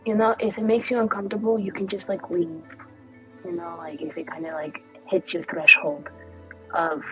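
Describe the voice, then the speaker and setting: A woman's voice: on the low side, flat delivery, moderate pace, sharp tone, dull audio. Woman playing a tabletop rpg, rambly and jokey, casual banter, light music behind her. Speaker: tabletop roleplay player. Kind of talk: casual improvised in-character banter. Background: background music.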